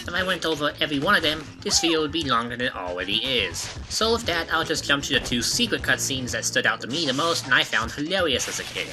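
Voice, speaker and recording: A man's voice: on the high side, sing-song, fast talker, hardly any pauses, music in the background, rough recording. A young man narrating a scripted, joking video retrospective with a wry, mock-dramatic manner. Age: young adult. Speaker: YouTube retrospective host.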